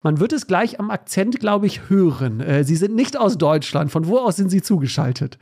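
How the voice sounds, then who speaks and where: A man's voice: high voice, lively intonation, moderate pace, a little mellow, husky. A man from Germany hosting a health podcast. He reads a scripted intro and then asks his guest friendly questions, with many "äh" fillers. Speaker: podcast host.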